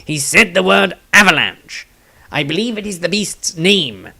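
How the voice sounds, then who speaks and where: A man's voice: sits high, sing-song, a little slow, creaky, pushed and bright, breathy edge, voice pushed up, heavily acted. A man voicing a small, friendly male halfling companion in a fantasy story, amiable and eager to explain.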